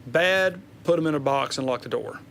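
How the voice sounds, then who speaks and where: Male politician, medium-pitched, plain-spoken and punchy interview delivery. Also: normal speed, vocal fry, fairly bright tone, slight background noise. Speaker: state senator, interviewee. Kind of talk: explanatory interview talk.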